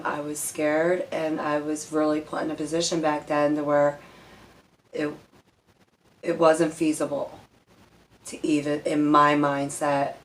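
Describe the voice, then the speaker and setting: A woman's voice: low voice, rough recording. A woman shares personal stories in a casual vlog style. She is candid and emphatic, rambles as she goes and swears freely. There is some noise behind her voice. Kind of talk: casual personal storytelling to camera.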